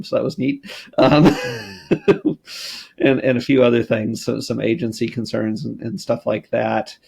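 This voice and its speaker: A man's voice: mid-range voice, gravelly, darkish tone, breathy edge. A man co-hosting a podcast panel, talking casually and thoughtfully about app testing, with loose, trailing sentences. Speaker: podcast co-host and app tester.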